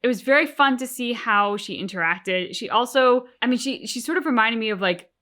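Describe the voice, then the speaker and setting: A woman's voice: a little high, brisk, pushed and bright. Woman chatting casually in game commentary. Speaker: reaction show co-host.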